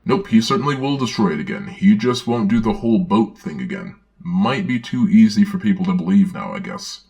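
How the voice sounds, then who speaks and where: A man acts out a dark lord reading from a storybook. The voice is very low and full of gravelly creak. The delivery is measured and deadpan, with sardonic asides. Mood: dry, matter-of-fact. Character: Darth Magog, droll dark-lord villain reading storybook aloud. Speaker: host and narrator. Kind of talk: dramatic reading with sardonic commentary.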